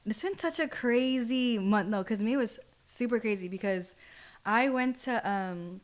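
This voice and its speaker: Podcast guest: young American woman, higher-pitched voice, excitable and slangy, casual storyteller. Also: moderate pace.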